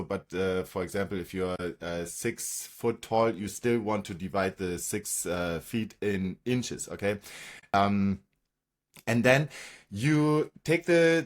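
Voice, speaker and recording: A man with a German accent, a native German speaker, talking as a fitness coach in an informal explainer, often checking in with "okay?" or "right?". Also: mid-range voice. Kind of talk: informal explainer talk with slides.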